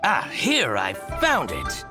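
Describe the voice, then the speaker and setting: An actor playing a scheming, excitable male shopkeeper in a video game, theatrical, obsequious and full of jealousy. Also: medium pitch, slow. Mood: eager, scheming. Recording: music behind the voice.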